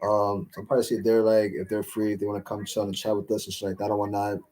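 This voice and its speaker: A young man hosting a casual livestream Q&A, rambling with lots of fillers and slang, his voice a little raspy from talking all day. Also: on the low side, monotone, fast talker, dark tone. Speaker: livestream host answering chat.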